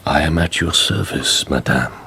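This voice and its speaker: Radio drama lead: aristocratic count, deep register, cool, guarded, impeccably courteous. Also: male voice, vocal fry, sharp tone, a little hiss or hum, exaggerated voice. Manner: measured, formal, reserved.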